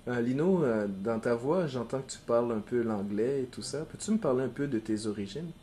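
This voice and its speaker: A man's voice: medium pitch, lively intonation, a little fast, warm tone, a little hiss or hum. A man interviewing a guest, asking short, slightly hesitant questions.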